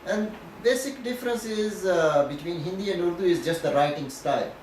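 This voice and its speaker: A man's voice: high-pitched, fairly quick, a little mellow. A man from Pakistan with a Pakistani accent and Punjabi as his mother tongue, explaining things in an interview in a didactic way, often checking in with "okay". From Pakistan, Punjab region. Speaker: professor being interviewed. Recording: echoey, distant mic, faint noise.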